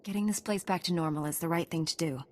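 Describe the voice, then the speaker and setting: An actress playing a composed, resolute Jedi knight in a video game, with a measured, assured delivery. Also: female voice, on the low side, darkish tone, a little hiss or hum, close to an everyday voice. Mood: calm, resolute.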